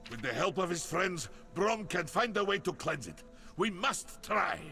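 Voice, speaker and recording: A man playing a stalwart, big-hearted warrior in a video game, earnest and hopeful, with a gravelly voice. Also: high voice, big pitch swings, unhurried, bright, forceful tone, soft background music, exaggerated voice. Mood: earnest, determined. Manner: speaks of himself in third person, earnest. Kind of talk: scripted video game acting.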